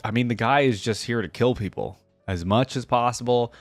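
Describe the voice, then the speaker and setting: A man hosting a reaction, moving between casual commentary and expressive reading of fantasy stories aloud. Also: mid-range voice, normal speed, no room sound, soft background music. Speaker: online reaction host.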